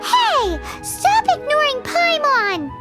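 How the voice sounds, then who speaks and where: A woman's voice: very high voice, big pitch swings, a little slow, music in the background, full character voice. A woman voicing a small childlike fairy companion in a video game, cheeky, blunt and nosy. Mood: indignant. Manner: speaks of self in third person, cheeky and blunt.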